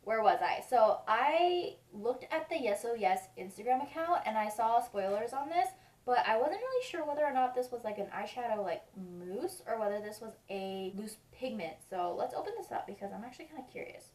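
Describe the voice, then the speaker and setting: A woman's voice: fairly high pitch, expressive, moderate pace, darkish tone. A woman vlogger with a stuffy, sniffly nose, chatting casually and rambling as she reviews beauty products. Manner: chatty, rambling, repeats reassurances. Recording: roomy sound, mic at a distance.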